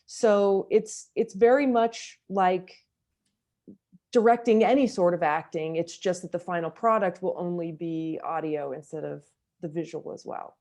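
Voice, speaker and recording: A woman talks as an interview guest, explaining how she works as a director. Her voice is fairly low, with a mellow and relaxed tone. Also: a little slow.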